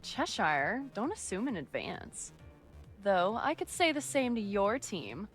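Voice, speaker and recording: A rival team leader in a visual novel, cool and condescending. The mid-pitched voice glides widely, over light music. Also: female voice, big pitch swings, a little slow. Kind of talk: visual novel dialogue acting.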